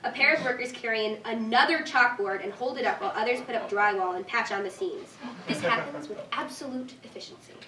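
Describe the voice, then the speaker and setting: A woman's voice: on the high side, fairly quick, a crowd in the background. A woman reading scripted stage directions as narrator at a live staged reading. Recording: distant echoey room, faint noise.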